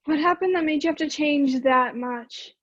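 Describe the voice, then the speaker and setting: A woman's voice: sits high, normal speed, close to an everyday voice. A young woman in an amateur stage play, acting a blunt, impatient teenage runaway with a dry, unimpressed manner. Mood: unimpressed, curious. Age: young adult. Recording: dull lo-fi sound.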